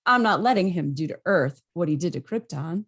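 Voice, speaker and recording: A woman's voice: fairly low pitch, animated, fairly quick. A woman playing a brash, stubborn superhero in a script table read, with a casual, emphatic delivery. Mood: defiant. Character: brash, stubborn, heroic male alien superhero.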